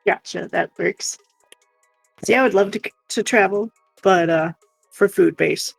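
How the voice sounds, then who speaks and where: Creaky-voiced woman chatting casually. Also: mid-range voice, a little slow, no echo, faint music behind, low-quality recording. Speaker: livestream co-host. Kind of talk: casual chat.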